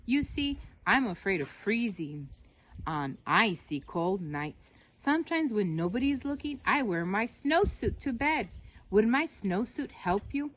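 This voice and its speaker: Female reader doing a polar bear, storybook, big, fearful, helpful, confiding, animated intonation. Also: mid-range voice, unhurried. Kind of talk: read-aloud children's picture book.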